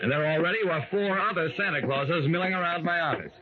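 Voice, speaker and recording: An actor with an American accent playing an affable private detective in an old radio drama. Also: male voice, fairly high pitch, fast, lo-fi sound, lightly acted. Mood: narrating. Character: affable male private detective narrating his casebook. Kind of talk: 1940s-50s radio acting. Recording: noisy, band-limited old radio recording.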